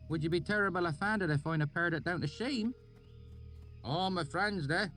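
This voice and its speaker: A man's voice: high-pitched, moderate pace, faint music behind, pitched above the performer's own voice. A man voicing a weathered older male swineherd who speaks in a thick rustic dialect. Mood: gruff. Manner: loud hailing, drawling.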